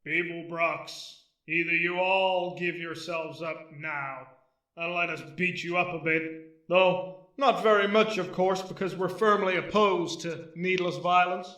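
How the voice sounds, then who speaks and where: Man playing a high, blustering space cop. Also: steady tone, measured pace, sharp tone. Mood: threatening. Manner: hectoring, self-justifying. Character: blustering trigger-happy space cop who claims sensitivity. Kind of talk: amateur sci-fi comedy audio drama. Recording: roomy echo, distant from mic.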